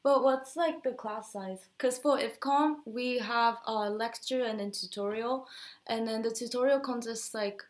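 A woman's voice: fairly high pitch, a little slow. A young woman from Japan hosting a casual student podcast, friendly, with frequent fillers and sentences that trail off. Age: young adult. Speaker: podcast host.

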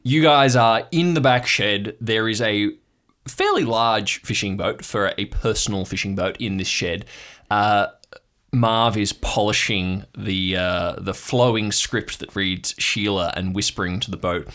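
A man's voice: on the low side, measured pace, fairly bright tone. A man casually narrating an improvised audio drama scene as the game master.

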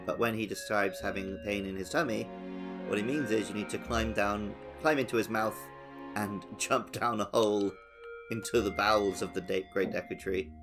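A man's voice: on the low side, fairly even intonation, normal speed, music in the background. A man co-hosting a casual gaming podcast, explaining things in an analytical, step-by-step way. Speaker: podcast co-host. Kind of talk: casual video game discussion.

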